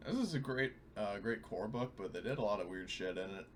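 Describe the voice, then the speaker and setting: Male gamer, on the low side, casual but insistent explainer, noticeably noisy recording. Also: animated, brisk. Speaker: player in a tabletop game. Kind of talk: casual chat. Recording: noisy room ambience behind voice.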